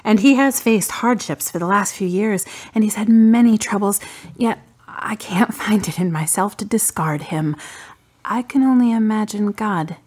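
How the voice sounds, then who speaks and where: A woman acting the part of a guarded, wry daughter in grief in a radio drama, her delivery measured and dry, her voice husky and breathy. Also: mid-range voice, darkish tone, natural voice. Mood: reflective, wry.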